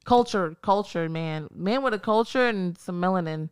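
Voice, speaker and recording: A woman guest in a casual podcast conversation. She speaks in a relaxed, reflective and frank way, and her smooth, resonant voice sounds dry and close. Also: on the low side, moderate pace, no room sound. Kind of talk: casual chat.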